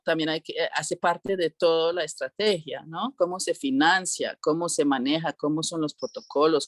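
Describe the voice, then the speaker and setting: A woman's voice: sits low, dry recording. A woman speaking as an interview guest in a reflective, informal way, often checking with short tag questions. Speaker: interview guest, cultural organizer.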